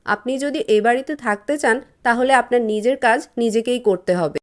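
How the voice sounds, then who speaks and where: Scornful cartoon daughter-in-law voiced by a woman, sharp-tongued, curt and scolding. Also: a little high, moderate pace, natural voice. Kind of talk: cartoon moral-story dialogue.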